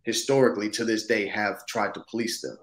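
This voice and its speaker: A man's voice: on the low side, steady tone, a little fast. A man in his thirties speaking thoughtfully and casually in a conversational interview over a video call. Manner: thoughtful, casual, punctuated with "you know what I'm saying". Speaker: actor, interview guest. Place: indoor room, video call. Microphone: video call, seated close to the camera.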